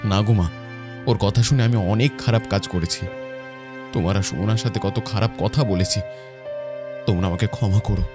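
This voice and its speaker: A man's voice: fairly low pitch, normal speed, pauses often, close to an everyday voice. A man playing a stern but remorseful grown son and husband in a melodramatic cartoon. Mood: contrite. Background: music.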